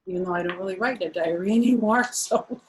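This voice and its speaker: A woman's voice: mid-range voice, fast, slight background noise. A woman storyteller reading aloud to young children, adding casual explanations and questions as asides.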